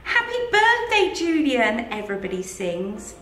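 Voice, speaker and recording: Middle-aged English teacher, storybook read-aloud; bright, bouncy and unhurried, roomy echo with soft music under. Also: female voice, a little high. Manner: animated, sing-song, asks children questions. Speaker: head of early years, reading aloud to children. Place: in front of a green screen with book pages. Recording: echoey room, faint music behind.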